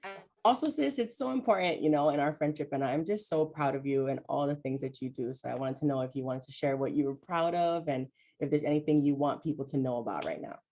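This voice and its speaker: A woman's voice: deep voice, fast talker, mellow. A woman from Hawaii, hosting a casual chat with a friend and talking in a reflective way with many "like" and "you know" fillers. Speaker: host, mental health coach.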